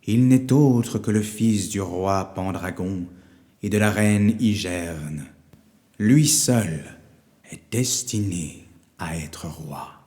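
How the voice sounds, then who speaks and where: A man voicing a wise, solemn old wizard in a storybook, speaking slowly, gravely and deliberately. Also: low-pitched, dark tone, in a large hall. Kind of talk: storybook character voice.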